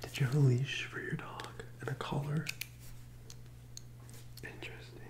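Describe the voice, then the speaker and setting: A man doing a soft-spoken ASMR role-play as a gentle psychic medium, in a breathy, hesitant whisper close to the microphone. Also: medium pitch, fairly even intonation, moderate pace. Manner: hesitant, gentle, soothing delivery.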